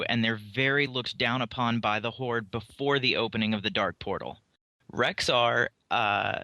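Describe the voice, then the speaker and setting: A man co-hosting a podcast, explaining fantasy game lore off the cuff and sometimes pausing to think aloud. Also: mid-range voice, pushed and bright, no room sound. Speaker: podcast co-host.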